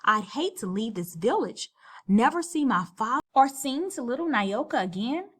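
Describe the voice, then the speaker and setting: A woman voicing a kind, brave young woman in a storybook read-aloud, with gentle, earnest delivery. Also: a little high, sing-song, moderate pace, husky, pitched above the performer's own voice, heavily acted.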